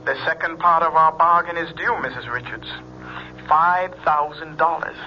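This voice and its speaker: An actor playing a menacing extortionist in a radio drama, speaking with slow, insinuating repetition. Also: male voice, a little high, fairly even intonation, lightly acted. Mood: quietly menacing. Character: menacing fortune teller extorting money, coldly insinuating. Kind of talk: 1970s radio drama acting. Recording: muffled, noisy old radio broadcast.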